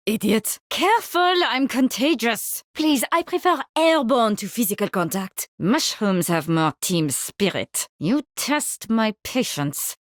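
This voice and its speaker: High-voiced, gleefully morbid French scientist. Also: female voice, lively intonation, a little slow, hardly any pauses, dry recording, clean studio sound. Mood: irritated, haughty. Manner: theatrical, savoring, condescending. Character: eccentric French mycologist woman, gleefully morbid, haughty scientist. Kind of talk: theatrical video game voice lines.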